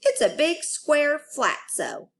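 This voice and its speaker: A woman voicing an excitable, chatty little kindergarten girl in a children's storybook reading. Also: high voice, takes it slowly, creaky, voice pushed up, heavily acted. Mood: excited. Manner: exclamatory, childlike phrasing.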